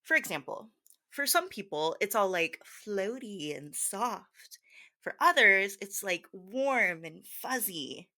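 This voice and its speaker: A woman playing a confused, ditzy, giggly hypnosis teacher in a soft roleplay, with scattered, hesitant, girlish talk full of fillers. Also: mid-range voice, animated, takes it slowly, exaggerated voice. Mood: scattered, bubbly.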